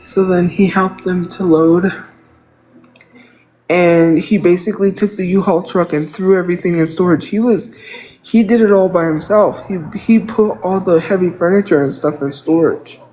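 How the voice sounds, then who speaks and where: Man, 30s, high-pitched, congested nose, rambling, venting, casual livestream talk. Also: steady tone, normal speed, darkish tone, rough recording. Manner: rambling, venting, repeats himself.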